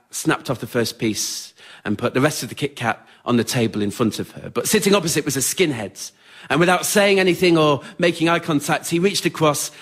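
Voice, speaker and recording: A young man with an English accent performs scripted autobiographical stories on stage in a wry, understated, measured way. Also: fairly high pitch, fairly bright tone. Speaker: writer-performer of a solo spoken-word show. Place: radio theatre in front of a live audience.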